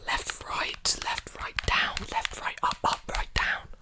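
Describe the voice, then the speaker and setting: A young man whispering breathily and soothingly into a close mic, repeating phrases in an ASMR roleplay as a repair technician. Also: slow. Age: young adult. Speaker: ASMR performer playing a robot repair technician. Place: small indoor room with clothes hanging behind. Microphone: large desktop condenser mic, very close.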